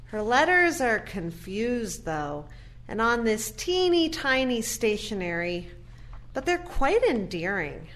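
A woman acting in an audio play as a guarded, resentful adult daughter, measured and pointed with her brother. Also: a little high, very animated, a bit bright, full character voice. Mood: softened, wistful.

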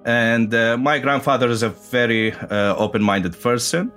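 A man, an Arabic speaker, telling his story as an interviewee with many hesitant pauses. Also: medium pitch, fairly bright tone, faint music behind. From Iraq. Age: 30s. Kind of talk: personal storytelling.

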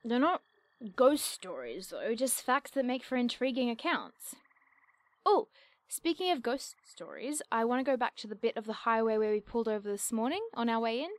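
A high-pitched, inquisitive young woman in an audio drama. She talks in precise, wordy bursts, keen and coaxing, like natural banter between road-trip companions. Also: very animated, normal speed, no room sound. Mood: eager, chatty. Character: eager, curious young woman, nerdy cryptid-chasing road-tripper.

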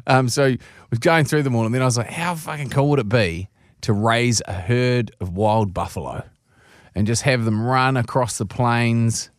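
A man's voice: mid-range voice, moderate pace, dry recording, clean studio sound. A New man co-hosting a podcast, rambling and enthusiastic, with casual swearing. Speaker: podcast co-host. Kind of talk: casual chat.

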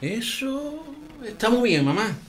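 Lively, teasing dad. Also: male voice, very high-pitched, slow, slight background noise, heavily acted. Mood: playful. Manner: teasing, playful. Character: playful, teasing middle-aged dad, man. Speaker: father. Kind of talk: comic family sitcom.

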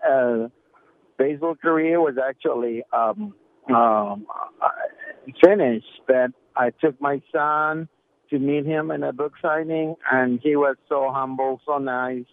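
A man's voice: mid-range voice, fairly even intonation, takes it slowly. Ecuadorian man on a tinny phone line. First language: Spanish. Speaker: radio show caller. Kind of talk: casual phone-in chat. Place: phone call into radio show.

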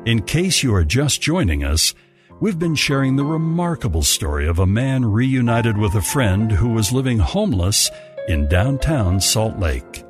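A man's voice: medium pitch, sing-song, a little slow. A man narrating a scripted story as a storyteller. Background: music.

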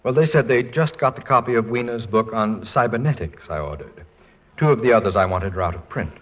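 A man's voice: fairly low pitch, lively intonation, fairly quick. A man playing a dignified, wryly humorous middle-aged American college president in 1940s radio comedy-drama style. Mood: resigned, calm. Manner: pedantic, wordy, dryly humorous.

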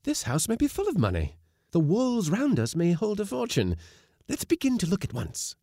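A man voicing an eager, excited young wife in a storybook reading, with high breathless exclamations. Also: quick pace, no room sound, clean studio sound, heavily acted.

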